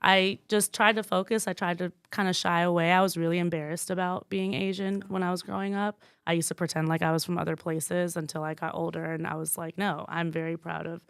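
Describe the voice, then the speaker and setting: Candid, slangy young woman with a smooth voice. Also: lowish voice, a little fast, hardly any pauses, dry recording, studio quality. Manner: lively, candid, slangy storytelling. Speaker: guest, former student working with the school. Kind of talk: casual podcast chat.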